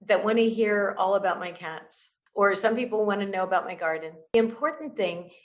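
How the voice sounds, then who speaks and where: A woman's voice: medium pitch, brisk, highs cut off. A woman speaking as a communication coach, giving conversational expert advice in an explanatory way with examples and rhetorical questions. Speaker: communication coach, interview guest.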